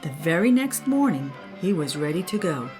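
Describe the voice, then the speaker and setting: A woman's voice: on the low side, very animated, normal speed, over music. An older woman, a grandmother, narrating a children's story.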